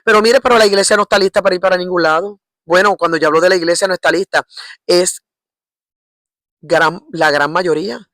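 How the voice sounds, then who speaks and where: A middle-aged woman with a Puerto Rican accent preaching informally in a halting, repetitive way, often checking for agreement with short tag questions. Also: lowish voice, fairly even intonation, fairly bright tone, dry recording. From Puerto Rico. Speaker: lay preacher. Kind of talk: informal religious exhortation.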